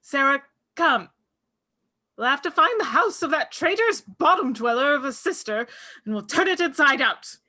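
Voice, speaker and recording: A woman's voice: sits high, sing-song, moderate pace, pushed and bright, heavily acted. A woman playing a bossy, irritable witch who speaks in imperious, archaic phrases at a script table read. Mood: scornful, commanding. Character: bossy, irritable witch, eldest of three sisters.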